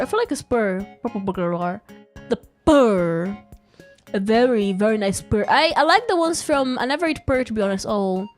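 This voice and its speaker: A young woman, chatting casually and playfully on a live stream, giggling often and rambling. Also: high voice. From Brazil. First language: Portuguese. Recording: faint music behind.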